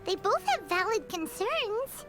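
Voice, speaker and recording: A woman voicing a tiny, childlike, chirpy female fairy companion in a video game, squeaky and blunt. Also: sing-song, unhurried, full character voice. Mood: concerned, eager. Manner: refers to herself in the third person. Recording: game music behind the voice.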